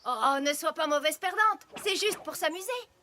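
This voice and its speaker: High, lively cartoon farm pony. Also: female voice, moderate pace, slight background noise. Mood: gleeful. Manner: folksy, hearty, teasing. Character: hearty, confident female farm pony, playfully competitive. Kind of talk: animated cartoon dub acting.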